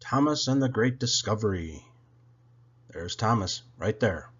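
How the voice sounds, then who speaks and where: A man reading a children's story aloud with expressive, animated storytelling. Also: lowish voice, unhurried, breathy edge, muffled recording. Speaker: storybook reader.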